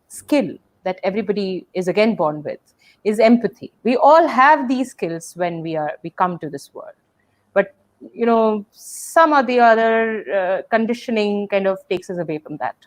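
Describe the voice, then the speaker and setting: A woman hosting a webinar on a video call, speaking thoughtfully, with many fillers and restarts. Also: a little high. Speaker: webinar host. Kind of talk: casual webinar conversation.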